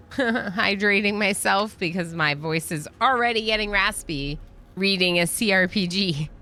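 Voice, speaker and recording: A woman's voice: medium pitch, a little slow, sharp tone. A woman live-streaming a game, chatting casually with viewers as she plays and reads along. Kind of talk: casual live-stream chat while playing a role-playing game.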